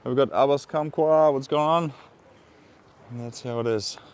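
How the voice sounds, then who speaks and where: A man's voice: mid-range voice, moderate pace, a little mellow, clear, resonant tone. A man from Eastern Europe with an Eastern European accent in English, chatting casually on a live stream, rambling with many fillers and frequent laughs. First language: Russian. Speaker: vlogger.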